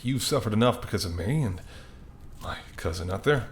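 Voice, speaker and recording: A man's voice: fairly low pitch, animated, normal speed, slight background noise, natural voice. A man playing an apologetic, wry and courteous male mafia boss in an audio roleplay, speaking conversationally and sardonically straight to the listener. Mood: apologetic, charming. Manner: conversational, sardonic, exasperated, softening into polite charm.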